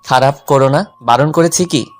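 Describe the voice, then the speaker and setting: A man's voice: mid-range voice, moderate pace, soft background music, natural voice. A man playing a jealous, teasing young husband in a dramatized romantic audio story. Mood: teasing, tender. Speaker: narrator and male lead of an audio love story.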